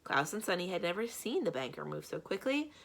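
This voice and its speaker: A woman reading a children's book aloud as a library storyteller, with expressive narration. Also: on the low side, fairly quick, creaky.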